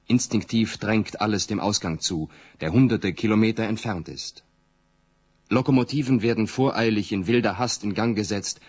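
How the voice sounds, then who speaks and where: Husky, low male narrator. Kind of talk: scripted documentary-like narration.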